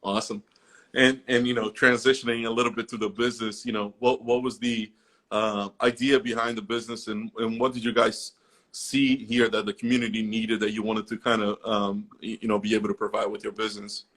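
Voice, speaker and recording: A man's voice: on the low side, flat delivery, fairly quick, fairly bright tone. A man hosting a casual interview, talking in a chatty way with many fillers and restarts. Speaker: host.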